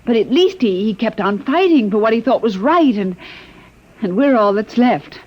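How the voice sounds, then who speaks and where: A woman's voice: a little high, animated, moderate pace. A woman playing an earnest, determined captive in a 1950s radio drama, speaking urgently, heard on a muffled vintage broadcast recording.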